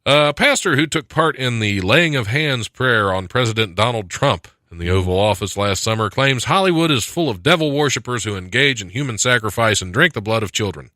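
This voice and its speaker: Animated man doing comedic news banter. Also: mid-range voice, brisk, few pauses, no room sound, clean studio sound. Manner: joking, reading news items with sarcastic asides. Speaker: podcast host. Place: studio.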